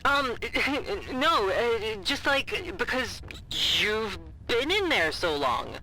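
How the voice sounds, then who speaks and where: A woman acting a nervous, evasive character in an audio drama, speaking in a hesitant, halting, awkward way. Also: on the high side, big pitch swings, pushed and bright, a little hiss or hum, full character voice, poor audio. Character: nervous, evasive young woman hiding bad news.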